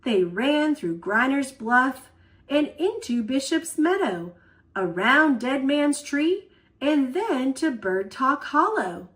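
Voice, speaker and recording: Animated woman reading a children's story. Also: high voice, takes it slowly. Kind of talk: expressive children's picture book read-aloud.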